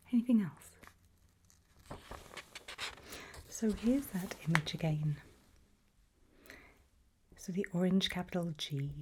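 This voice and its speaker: Calm female doctor, played by a woman for ASMR roleplay: unhurried breathy whisper, lowish pitch, patient and reassuring. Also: a little hiss or hum. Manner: slow, gentle, reassuring. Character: calm reassuring female doctor running a creepy memory test.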